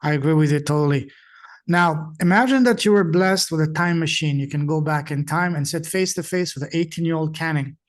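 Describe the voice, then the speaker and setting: A man hosting a podcast interview, with a voice pitched a little higher than most men's. He speaks in an encouraging, motivational way, praising his guest and offering help. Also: brisk. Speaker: podcast host.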